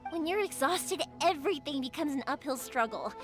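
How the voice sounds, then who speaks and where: High, lively, bubbly young fireworks maker for a game. Chatty and eager to please, over clear game music. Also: female voice, fairly quick. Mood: cheerful. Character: cheerful young woman fireworks maker, warm and talkative.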